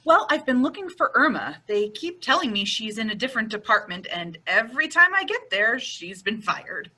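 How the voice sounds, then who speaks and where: A woman plays the exasperated, sensible friend in an old-time radio comedy. Her voice is fairly high and forceful, with lively swings in pitch. She is brisk and wry. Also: husky, exaggerated voice.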